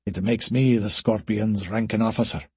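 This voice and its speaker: A man voicing a calm male ranking officer with a quiet, measured delivery in an audiobook. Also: on the low side, breathy edge, no echo. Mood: subdued.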